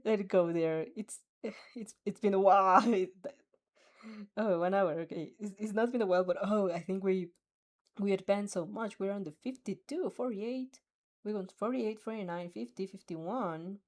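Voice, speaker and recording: A woman's voice: medium pitch, moderate pace, a little mellow. A young woman reading a fanfiction aloud and chatting casually with viewers in a giggly, rambling, easily flustered way. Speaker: fanfic reader and streamer. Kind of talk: reaction reading and casual chat.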